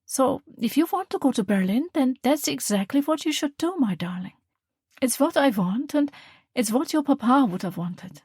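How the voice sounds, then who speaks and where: A woman reading the part of a calm, loving mother, speaking softly and tenderly. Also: high-pitched, normal speed, breathy edge, dry recording, studio quality, voice pushed up. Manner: soft, tender, reassuring.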